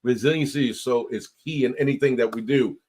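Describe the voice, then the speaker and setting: A man hosting a casual interview podcast, talking in a halting way with many fillers and restarts. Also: mid-range voice, a little mellow. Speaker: podcast host.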